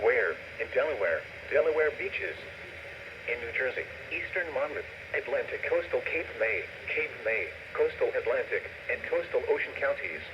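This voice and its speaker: A dull, lo-fi radio broadcast with clear noise carries a computerized man's voice. He has a medium pitch and announces official weather alerts in a measured, impersonal, scripted manner. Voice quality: synthetic text-to-speech voice. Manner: flat, measured, impersonal delivery. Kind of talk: formal scripted weather warning bulletin. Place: radio receiver playback of a broadcast.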